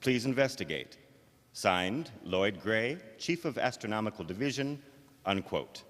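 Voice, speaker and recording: An actor playing an earnest radio news reporter in an old-time radio drama, speaking in a formal, measured announcer style. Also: male voice, lowish voice, lively intonation, a bit bright. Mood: formal, announcing. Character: earnest, probing male radio news reporter interviewing live. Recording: hall reverb.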